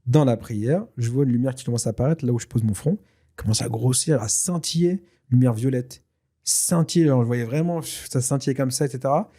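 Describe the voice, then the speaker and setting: A man whose first language is Tashelhit, telling a personal story in a casual, lively way with many fillers and restarts. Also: mid-range voice, a little fast. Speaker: guest telling his story. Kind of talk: casual spoken testimony.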